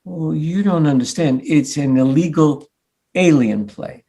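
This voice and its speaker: A man playing an earnest, flustered sixteen-year-old playwright son in a staged reading, defensive and mock-modest, with a husky voice. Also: fairly high pitch, unhurried, mellow, heavily acted. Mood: nervous, mock-modest. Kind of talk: live staged play reading.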